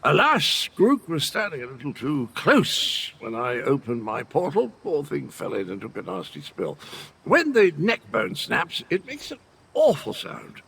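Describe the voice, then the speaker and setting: Dotty old gallant knight, British,, game role: high, gravelly, extremely animated, whimsical and befuddled. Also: male voice, unhurried, full character voice. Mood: whimsically rueful. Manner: theatrical, whimsical, rambling with archaic genteel slang. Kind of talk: video game character dialogue.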